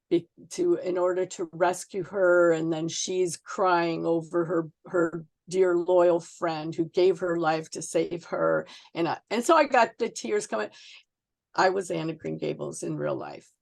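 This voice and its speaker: Woman in her sixties, Canadian accent, low gravelly voice, chatty storyteller, casual interview. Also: a little mellow. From Ontario, Canada. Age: 60s. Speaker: author being interviewed.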